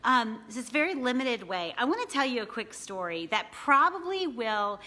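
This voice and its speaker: A woman's voice: a little high, very animated, moderate pace, sharp tone. A woman in her thirties talking casually to a phone camera from her couch at home, chatty and full of "right?" and "you know". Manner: chatty, many fillers and "right?" tags. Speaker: small business coach. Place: living room at home, seated on a couch. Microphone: phone camera at arm's length.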